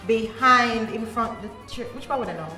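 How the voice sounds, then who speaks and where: A Jamaican woman hosting a podcast with a high voice and a playful, giggly way of talking. The room has a noticeable echo and there is faint music behind her. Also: normal speed, bright, forceful tone. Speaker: podcast host. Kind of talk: casual chat.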